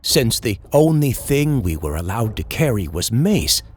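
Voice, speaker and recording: A man's voice: mid-range voice, big pitch swings, measured pace, husky. A man reading a scripted first-person scary story as a storyteller, in a dramatic, suspenseful manner.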